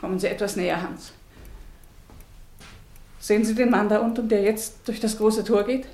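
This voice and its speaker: A woman playing a husky, haunted exile. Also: medium pitch, fairly even intonation, moderate pace, dark tone, close to an everyday voice. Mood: wistful. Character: troubled woman Ruth, exiled doctor's wife. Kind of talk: radio play acting. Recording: old mono radio drama.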